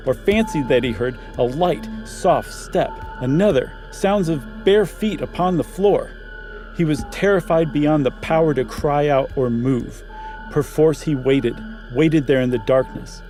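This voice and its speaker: A man's voice: medium pitch, warm tone. An American man from Ohio co-hosting a podcast, moving between casual chat and dramatic reading of a horror story. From Ohio, United States. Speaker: podcast co-host. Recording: faint music under the voice. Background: faint music.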